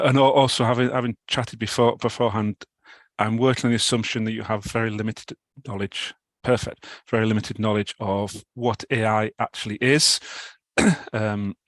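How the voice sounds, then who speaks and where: A middle-aged British man with a cold, giving a talk over a video call, halting with many restarts. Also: fairly low pitch, fairly bright tone, dry recording. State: has a cold, clears throat. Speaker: guest speaker. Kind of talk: online talk presentation.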